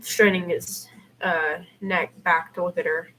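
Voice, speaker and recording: A woman's voice: on the low side, fairly even intonation, unhurried, fairly bright tone. A woman reading fan fiction aloud on an amateur livestream, with casual asides. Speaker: podfic reader and live streamer. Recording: clearly noisy amateur livestream recording.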